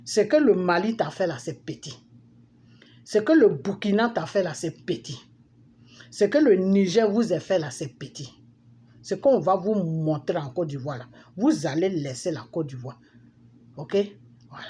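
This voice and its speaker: A middle-aged woman with an Ivorian accent delivering an impassioned, forceful political monologue, scolding and repeating phrases for emphasis. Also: fairly low pitch, animated, a little slow. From Ivory Coast. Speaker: political commentator. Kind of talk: impassioned political monologue addressed to public figures. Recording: noisy amateur recording with background ambience.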